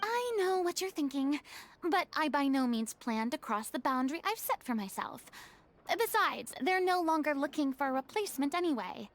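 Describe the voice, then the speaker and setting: A woman's voice: high-pitched, very animated, moderate pace. An actress voicing a theatrical former goddess in a game cutscene, lofty and ornate in her speech. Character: grand theatrical former goddess, young woman. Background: game music.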